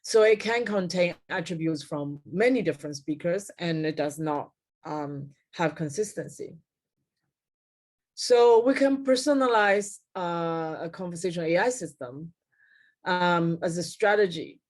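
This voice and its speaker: A woman's voice: fairly low pitch, lively intonation, measured pace. Middle-aged woman lecturing with many fillers. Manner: frequent fillers and self-corrections. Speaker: keynote speaker. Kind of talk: technical lecture over slides. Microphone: webcam at desk, video call.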